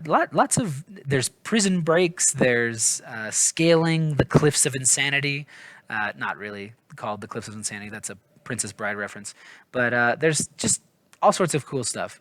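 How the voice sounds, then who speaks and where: A man's voice: medium pitch, fairly bright tone, no echo. A man giving a casual, rambling book review in an informal, hesitant, self-deprecating way, often stumbling over his words. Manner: informal, hedging, apologetic.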